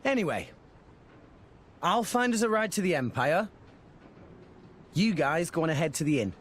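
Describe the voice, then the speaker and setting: A man's voice: on the high side, very animated, normal speed, slight background noise. An actor playing an upbeat, earnest teenage salvager boy in a video game cutscene, talking casually and eagerly. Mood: upbeat, confident. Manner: casual, eager, informal speech.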